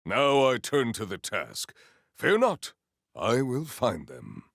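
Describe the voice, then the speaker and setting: An actor playing a noble, earnest warrior hero in a video game, speaking in a pompous, formal and grandiose way. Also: male voice, medium pitch, wildly swooping pitch, slow, vocal fry, fairly bright tone, dry recording, studio quality, heavily acted. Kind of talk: video game character voice acting.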